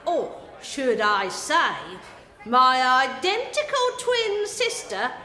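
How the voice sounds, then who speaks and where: A very high, piercing British woman's voice, brash and taunting, swinging widely in pitch as she quarrels in a comic radio play. The sound rings in a long, hollow reverb. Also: big pitch swings, takes it slowly, background noise, heavily acted. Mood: gleefully defiant. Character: brazen, quarrelsome woman in a melodramatic lovers' row.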